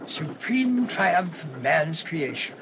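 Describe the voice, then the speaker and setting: Humble English parish priest, radio play, slightly high pitch, mild, earnest, formal, dull noisy recording. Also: male voice, big pitch swings, slow pace, exaggerated voice. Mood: awed, polite. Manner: mild, hesitant, formal and wordy. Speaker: actor in a radio drama.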